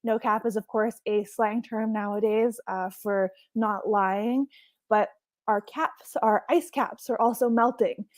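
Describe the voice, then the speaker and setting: A woman's voice: fairly high pitch, steady tone, a little slow, dry recording. A young adult woman raised in Hawaii, a marine scientist giving a chatty, enthusiastic online workshop talk and laughing often. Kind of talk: casual online workshop presentation.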